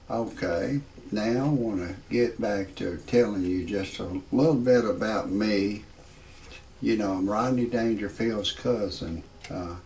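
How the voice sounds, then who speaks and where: A man in his sixties hosting a parody show, rambling through a comedic monologue in a halting, repetitive, grumbling way with a gravelly voice. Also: on the low side, a little mellow, a little hiss or hum. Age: 60s. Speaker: host of a parody report show.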